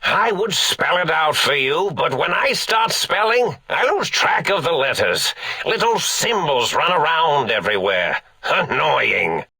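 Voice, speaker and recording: An actor playing an eccentric, forgetful elderly mad scientist in a video game, creaky and raspy, theatrical, pompous and rambling. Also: male voice, on the high side, sing-song, measured pace, hardly any pauses, pushed and bright, no room sound, exaggerated voice, low-quality recording. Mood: rambling, absent-minded. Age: older. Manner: rambling, pompous, absent-minded, melodramatic.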